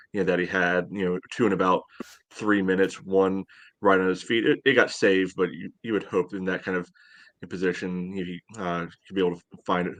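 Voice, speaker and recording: A man with a low voice chats through match analysis as a podcast panelist. His sentences wander and are padded with frequent verbal tags, in a casual fan-talk style. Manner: meandering, frequent "you know".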